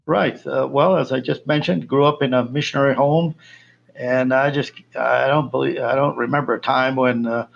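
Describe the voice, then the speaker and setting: A man from Colombia, a missionary guest, answering interview questions in a halting way with many fillers. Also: medium pitch, steady tone, vocal fry, dull audio.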